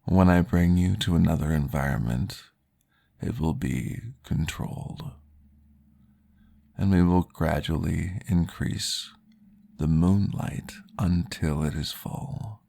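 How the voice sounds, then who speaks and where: A man voicing a courteous male vampire guiding a therapy session in a formal, polite, soft-spoken ASMR roleplay, recorded close to the mic. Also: sits low, takes it slowly, creaky, no echo, heavily acted. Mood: calm, courteous.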